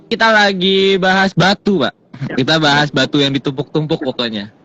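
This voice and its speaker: A man co-hosting a casual live stream, chatty and teasing, with short quick replies. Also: on the high side, bright, forceful tone. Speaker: co-host. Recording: dull lo-fi stream audio.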